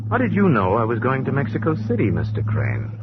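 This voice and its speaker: An actor playing a cool, shrewd detective hero, brisk and commanding, in 1940s radio style. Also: male voice, medium pitch, huge pitch swings. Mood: cool, firm. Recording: muffled 1940s radio recording, faint noise.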